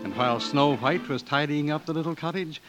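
An actor playing a storybook narrator in 1940s radio style, with measured phrasing. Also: male voice, a little high, over music, close to an everyday voice, low-quality recording. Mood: gentle storytelling. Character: storybook narrator telling a fairy tale.

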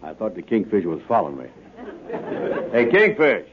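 A man playing a slow, gullible, doubtful dupe in a 1950s radio sitcom, speaking hesitantly in a folksy dialect. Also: lowish voice, sing-song, a faint crowd. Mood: puzzled. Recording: narrow, noisy vintage radio recording with clicks.

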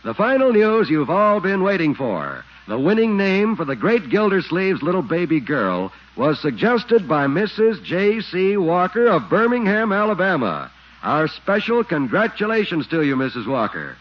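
A man's voice: high-pitched, sing-song, moderate pace. A man announcing on 1940s radio in a polished scripted style. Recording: dull 1940s broadcast recording. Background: loud music.

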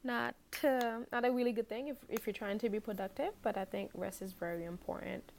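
A young woman from Haiti talks as a podcast guest in a gravelly, creaky voice. She speaks loosely and hesitantly, with plenty of fillers. Faint background noise sits under her voice. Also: medium pitch, brisk. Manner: relaxed, hesitant, many fillers. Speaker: podcast guest, fashion blogger. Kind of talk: casual interview chat.

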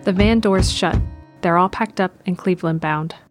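A woman's voice: fairly low pitch, normal speed, soft background music. A woman reading scripted present-tense narration for an audio drama.

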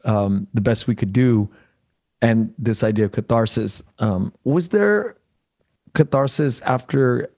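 A man's voice: mid-range voice, a little slow, a little mellow. A man hosting a casual interview, feeling his way through questions with searching, tentative phrasing. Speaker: interviewer, podcast host.

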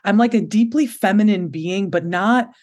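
A woman in her thirties with a low voice, speaking openly and expressively as a guest in an interview, laughing often. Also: moderate pace, darkish tone. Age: 30s. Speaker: podcast guest. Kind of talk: candid conversational interview.

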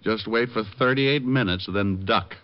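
An actor playing a suave, quick-witted private detective with an American accent, wry and urgent, in old radio drama style. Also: male voice, lowish voice, natural voice. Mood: wry, grim. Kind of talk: 1940s-50s radio drama acting. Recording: dull vintage radio recording.

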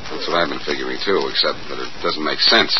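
Fast-talking, cocky radio sleuth. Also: male voice, very deep voice. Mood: brisk. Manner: wisecracking, fast banter. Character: cocky, quick-witted male amateur sleuth under suspicion. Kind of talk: 1940s radio crime drama acting. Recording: dull, noisy old radio recording.

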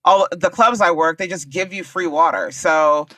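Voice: medium pitch, fairly even intonation, moderate pace, bright, forceful tone, no room sound. A comedian co-hosting a podcast, talking bluntly and emphatically and joking a lot. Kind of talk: casual chat.

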